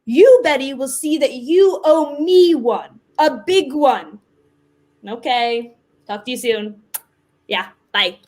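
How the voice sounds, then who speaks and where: A woman's voice: high voice, animated, slow pace, a little mellow. A woman actor in a staged play reading, playing Death as an exasperated, reluctant office worker with an emphatic, conversational delivery. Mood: exasperated insistence. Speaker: actor in a community theater reading.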